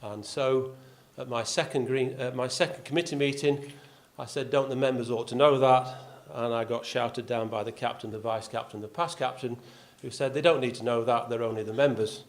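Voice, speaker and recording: A man's voice: mid-range voice, steady tone, moderate pace, few pauses. An older man from Yorkshire with a faint accent, speaking to an audience as a golf club's vice captain, with dry self-deprecating humour and frequent "uh" fillers. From Yorkshire, England. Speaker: golf club vice captain giving a talk. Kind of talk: speech to an audience. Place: clubhouse function room.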